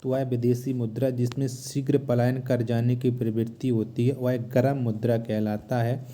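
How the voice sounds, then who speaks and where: A man with a very mellow, dark voice at an average pitch works as an exam-prep teacher. He reads out quiz questions, asks them again, and repeats each answer in an instructional way. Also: flat delivery, moderate pace. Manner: repetitive, asks then restates answers.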